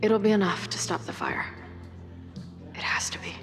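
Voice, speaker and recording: A woman playing a restless, impatient young woman with an edgy way about her and a gravelly voice in a video game. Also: mid-range voice, wildly swooping pitch, normal speed, whispered, loud music behind the voice. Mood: tense, hopeful. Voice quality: gravelly, creaky.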